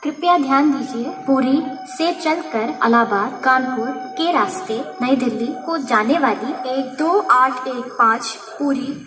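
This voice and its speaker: Echoey high-pitched Indian woman station announcer. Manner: formal, measured, stitched-together phrases. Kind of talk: scripted public announcement in Hindi and English. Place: railway station, announcement heard over PA speakers. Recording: echoey station loudspeaker, distant mic, music.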